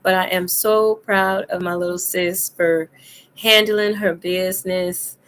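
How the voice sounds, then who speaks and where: A woman hosting a casual adult chat show, hoarse and deep-voiced from being sick, laughing and coughing as she talks. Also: steady tone, takes it slowly, fairly bright tone, slight background noise. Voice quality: deep, rough voice. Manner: laughs often, coughs between lines. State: hoarse from illness. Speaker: host.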